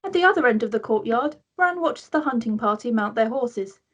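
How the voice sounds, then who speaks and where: Slightly husky woman narrator, fairly high. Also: animated, fairly quick, a bit bright, muffled recording. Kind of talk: scripted narration of screenplay directions.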